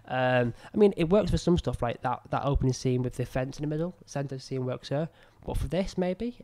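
A young man with a croaky voice from illness, casually commenting on his old film, rambling and self-critical with many fillers, close to a boom-arm mic in a small room. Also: moderate pace, mellow. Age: young adult. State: ill, croaky voice. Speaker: filmmaker reviewing his own work. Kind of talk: casual commentary over footage. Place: small room indoors at a desk. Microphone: studio mic on a boom arm close to mouth.

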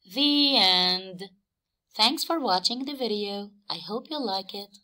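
A woman teacher reading aloud for young children, expressive and emphatic, often questioning. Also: medium pitch, slow. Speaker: teacher and story reader.

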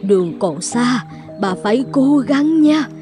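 Kindly old villager: mellow, unhurried, fairly high, faint music behind. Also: female voice, lively intonation. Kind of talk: cartoon fairy-tale dubbing.

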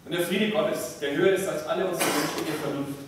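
A man preaching a sermon as a pastor, in measured, deliberate phrases with pauses. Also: a little high, steady tone. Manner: measured, deliberate, pausing between short phrases. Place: large church interior, speaking from a pulpit. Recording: echoey church, distant mic, faint noise.

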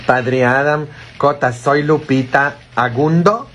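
Slow-talking man streaming from a phone. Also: mid-range voice, a bit bright, a little hiss or hum. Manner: playful, teasing, reads viewer comments aloud. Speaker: priest streaming live. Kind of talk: casual live-stream chat. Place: small indoor office. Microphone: phone held close to the face.

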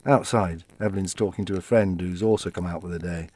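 An actor playing an elderly widower in an audio play, reminiscing wistfully about his wife in a halting, conversational, reflective way. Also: sits low, steady tone, a little mellow, no echo. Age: older.

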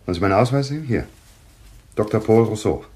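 Man acting a level-headed, low-voiced doctor. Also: expressive, fast talker, dark tone, lightly acted. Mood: calm. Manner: soothing, firm, reasonable. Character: level-headed, patient male doctor taking charge. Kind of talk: German thriller radio play acting.

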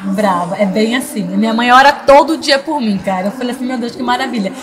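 High-voiced emotional woman podcast host. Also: brisk, a crowd in the background. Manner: warm, emotional, laughs often. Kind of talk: casual interview chat. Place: small studio in front of a logo wall. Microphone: studio mic on boom arm.

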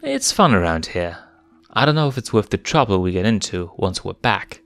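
A man's voice: sing-song, normal speed, fairly bright tone, no echo, faint music behind. A man voicing a playful young female lion cub who teases and giggles in a raised voice. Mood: wistful. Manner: giggly, teasing, raised pitch.

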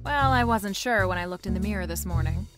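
A woman's voice: medium pitch, lively intonation, brisk, music in the background, lightly acted. A woman playing a wisecracking, flirtatious private eye, narrating a noir radio drama in a wry, playful way.

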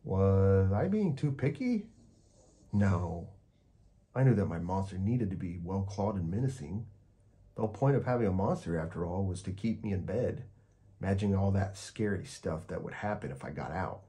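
A man reading a children's story aloud as an expressive narrator. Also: low-pitched, moderate pace. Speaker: storyteller, host.

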